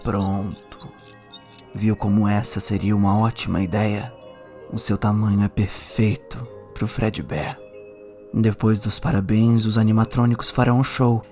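A man's voice: lowish voice, little pitch movement, a little slow, a little mellow, soft background music. A man playing a persuasive restaurant owner, explaining in a coaxing way in an audio drama. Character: upbeat, persuasive restaurant owner briefing a worker.

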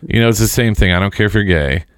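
The low-voiced guy at the podcast table, blunt and joking, his mic in a dry, close-sounding room. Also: fast talker, clear, resonant tone, dry recording. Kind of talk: casual opinionated group chat.

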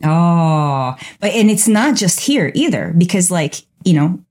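A woman from Brazil co-hosting a podcast, talking in a reflective, animated way with frequent fillers. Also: on the low side, measured pace. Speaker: podcast co-host. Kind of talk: casual conversational podcast chat.

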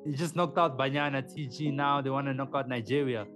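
Guy co-hosting a chatty podcast, lively and slangy, steady mid voice, music playing loud underneath. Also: medium pitch, brisk. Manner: animated, slangy, often says "man" and "dog". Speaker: podcast co-host. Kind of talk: casual chat.

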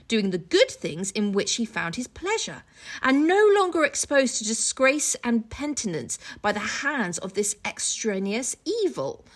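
A woman co-hosting a casual book podcast, reading aloud and commenting with irreverent, sweary, mocking asides. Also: medium pitch.

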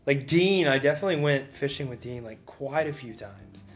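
A man's voice: mid-range voice, expressive, moderate pace. A man in his twenties co-hosting a casual podcast, dropping offhand jokes and interjections. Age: 20s. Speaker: podcast co-host. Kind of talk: casual chat.

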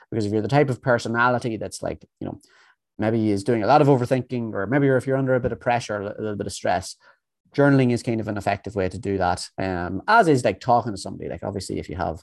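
A man co-hosting a podcast, talking in a relaxed, meandering way full of ums and restarts. His voice sits fairly low. The sound is dry and close, with no room around it. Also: vocal fry, dry recording. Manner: rambling, with many fillers and restarts. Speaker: podcast co-host. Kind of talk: casual chat.

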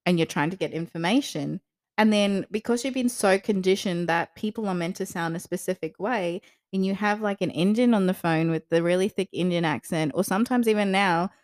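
A woman's voice: medium pitch, fairly quick, no room sound, clean studio sound. A young woman who grew up in South Africa and lives in Australia, with an Australian accent that has South African traces, co-hosting a casual podcast chat and telling stories. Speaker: podcast co-host.

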